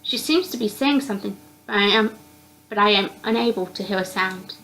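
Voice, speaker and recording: A woman reading a visual novel aloud in an expressive narrating style, now and then stumbling and repeating words. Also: medium pitch, fairly quick, slight background noise, low-quality recording.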